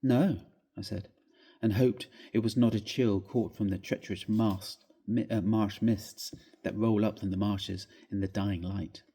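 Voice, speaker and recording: A man gives a measured, theatrical reading of a classic ghost story, with expressive phrasing. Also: lowish voice, husky. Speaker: story reader. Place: inside a church, live reading.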